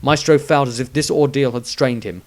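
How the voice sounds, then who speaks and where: A male narrator with a medium-pitched voice reads a fantasy tale. His delivery is scripted and dramatic, in the manner of an author reading his own audiobook. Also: moderate pace.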